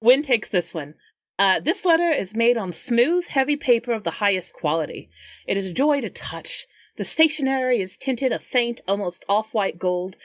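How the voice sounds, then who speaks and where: A woman's voice: mid-range voice, no echo. A woman playing in a tabletop roleplaying podcast, switching between casual chat, character dialogue and read-aloud narration.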